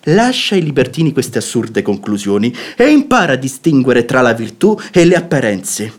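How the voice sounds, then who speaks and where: An actor playing a sensible relative in a radio drama, lecturing emphatically and counselling moderation. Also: male voice, mid-range voice, sing-song, moderate pace. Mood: exasperated, lecturing. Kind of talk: theatrical radio drama acting.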